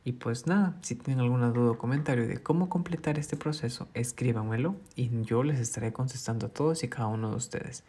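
Man, husky voice, patient tutorial host, explanatory. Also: mid-range voice, brisk, hardly any pauses, warm tone. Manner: patient, explanatory, repeats himself. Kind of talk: screen-recorded phone tutorial.

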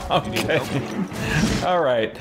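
A man's voice: medium pitch, lively intonation, slow. A middle-aged man giving casual let's play commentary as a game streamer. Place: small room lined with shelves, indoors. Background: game music.

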